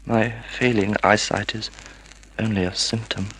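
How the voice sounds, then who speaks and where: An actor playing a wounded, frail semi-invalid husband in an old-time radio drama, halting and pleading. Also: male voice, on the low side, little pitch movement, a bit bright. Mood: grave, halting. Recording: noisy vintage radio recording.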